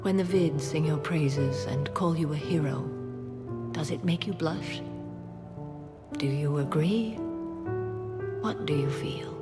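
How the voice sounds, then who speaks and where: Game companion: low female voice, measured and formal, gently teasing, pensive. Loud music underneath. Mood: fond, teasing. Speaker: video game voice actor. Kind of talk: intimate game dialogue acting.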